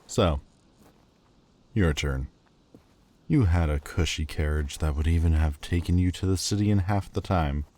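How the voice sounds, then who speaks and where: A man's voice: low-pitched, unhurried, pauses often, a little mellow. A man voicing a proud, bitter demon lord who speaks in a cold, cutting, haughty way and hides a lovesick heart. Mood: mocking. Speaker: voice actor. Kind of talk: audio roleplay acting.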